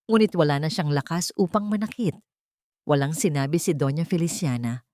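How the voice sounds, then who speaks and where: A woman's voice: lowish voice, a little mellow, no room sound, clean studio sound. A woman narrating a dramatic love story from a script, in storytelling style.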